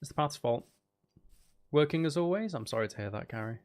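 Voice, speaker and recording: A man's voice: fairly high pitch, animated, brisk, a little mellow. A man chatting casually and thinking aloud as he hosts a live game stream, talking to his viewers.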